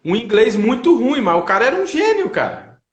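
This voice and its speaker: A man's voice: extremely high pitch, sing-song, a little fast, echoey room. A man with a Brazilian accent, a native Portuguese speaker, chatting casually and telling anecdotes in colloquial speech as host of a livestream. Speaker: host of a language-learning livestream. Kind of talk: casual chat. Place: indoors, online live video call.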